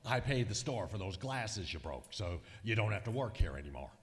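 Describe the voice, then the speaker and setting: A lowish male voice acted by a man plays a grumbling older husband in vintage radio comedy. He is dry and exasperated, with pitch that moves widely, heard live in an echoing hall. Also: big pitch swings, fairly quick. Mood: dry, weary. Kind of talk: old-time radio comedy acting. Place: live performance in a museum hall. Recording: reverberant hall, live stage.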